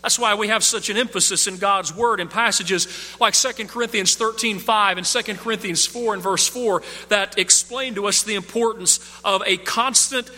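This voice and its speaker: A man preaching an expository sermon, emphatic, dropping low on key points. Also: bright, forceful tone, big-room sound.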